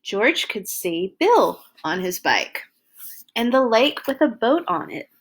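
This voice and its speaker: Woman reading a kids picture book out loud, super expressive, swoopy pitch, takes it slow. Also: slightly rough. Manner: animated, exaggerated emphasis for young children. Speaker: children's storyteller and host of a read-along. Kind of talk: expressive read-aloud of a children's picture book.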